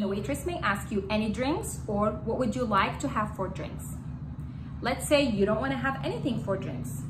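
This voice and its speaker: A young woman whose first language is Arabic, speaking straight to the camera as an English teacher and repeating key phrases slowly and clearly. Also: medium pitch. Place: small bright indoor room. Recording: roomy indoor sound with faint room hum.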